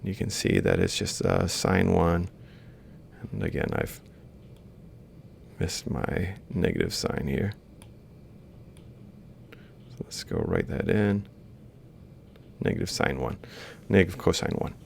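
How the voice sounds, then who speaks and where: A man giving an informal, step-by-step lecture, explaining haltingly as he works through examples. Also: low-pitched, sing-song, gravelly, slight background noise. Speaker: university robotics lecturer. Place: screen-recorded lecture voice-over.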